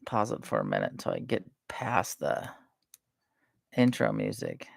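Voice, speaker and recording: A soft-spoken woman chatting casually and rambling between topics on a live stream. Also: very deep voice, wildly swooping pitch, a little slow, gravelly, no room sound.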